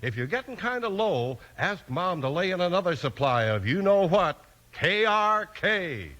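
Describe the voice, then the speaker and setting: A man's voice: high voice, big pitch swings, unhurried, a bit bright. A man announcing and narrating a 1950s children's radio show with punchy, dramatic, folksy salesmanship.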